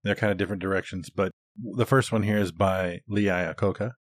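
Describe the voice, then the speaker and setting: Low, close-sounding man chatting plainly. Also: fairly even intonation, fairly quick, no room sound. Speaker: podcast co-host. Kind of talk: casual conversational podcast discussion.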